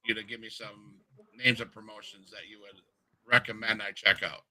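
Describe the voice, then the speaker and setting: Male interview host, very bright and sharp tone, easygoing and affirming. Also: lowish voice, level tone, normal speed, low-quality recording. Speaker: podcast host. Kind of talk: casual interview.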